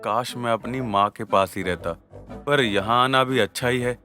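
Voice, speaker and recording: Man acting a bright, chatty village secretary. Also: medium pitch, normal speed, soft background music, lightly acted. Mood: wistful. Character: lovelorn, grumbling male village secretary. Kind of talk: comic cartoon dialogue.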